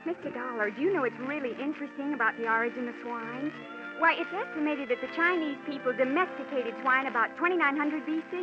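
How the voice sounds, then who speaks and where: A woman's voice: sits high, fast, loud music behind the voice, lightly acted. A woman playing a prim young pig breeder. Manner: prim, earnest lecturing about pigs. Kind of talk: 1950s radio drama acting. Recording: dull old radio recording.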